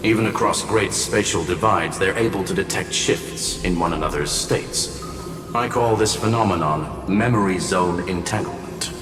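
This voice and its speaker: An actor playing a composed, erudite genius in a video game, explaining formally and politely but firmly. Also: male voice, deep voice, moderate pace, echoey room, big-room sound, far from the mic, music in the background, lightly acted. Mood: calm, explanatory.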